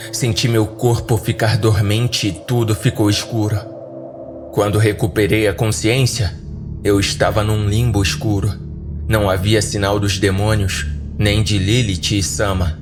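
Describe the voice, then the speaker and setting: A man's voice: lowish voice, fairly even intonation, a little slow. A man with a Brazilian accent narrating a scripted horror story dramatically for a podcast. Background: background music.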